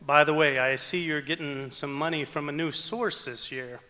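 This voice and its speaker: Bright-voiced affable tax man played by an actor. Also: on the high side, steady tone, moderate pace. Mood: chatty. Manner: polite, chatty, jokey. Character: affable, joking income tax accountant. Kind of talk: old-time radio comedy acting on stage. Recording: echoey auditorium stage performance.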